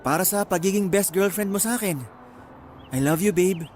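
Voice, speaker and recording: A man's voice: high-pitched, moderate pace, a little mellow, soft background music, lightly acted. A man playing a young student boyfriend, sweet-talking and pushy, in a Tagalog radio drama. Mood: sweet, grateful. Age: young adult.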